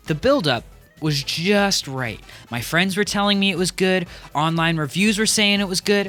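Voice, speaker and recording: A man's voice: high voice, bright, forceful tone. A young man hosting a video essay in a wry, casual scripted commentary style, with fillers. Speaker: host.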